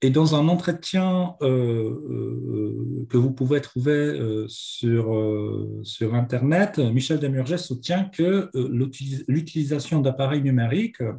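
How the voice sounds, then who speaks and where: A man's voice: medium pitch, a little slow, vocal fry, mellow. A man with a Polish accent and a cold, giving a hesitant academic lecture over a video call, with many fillers. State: has a cold. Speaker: seminar speaker. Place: home office on video call.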